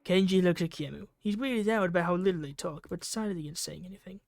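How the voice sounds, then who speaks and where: A man's voice: sits high, fast talker, creaky. A man reading a visual novel game's narration and dialogue aloud, stumbling over lines and correcting himself.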